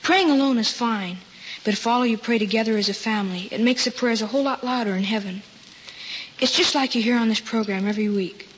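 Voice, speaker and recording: A man's voice: high voice, quick pace, fairly bright tone. A young man giving an earnest, scripted appeal in an old-time radio style. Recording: dull, reverberant, hissy old radio broadcast.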